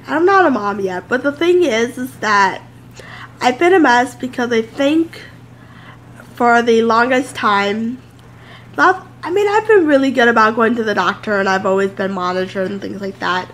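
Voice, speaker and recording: A woman's voice: fairly high pitch, animated, moderate pace, a bit bright, slight background noise. Woman, 30s, home vlogger, congested and nasal, chatty and loose, close camera. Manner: rambling, chatty, many fillers. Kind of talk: casual sit-down chat to camera. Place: home office, indoors.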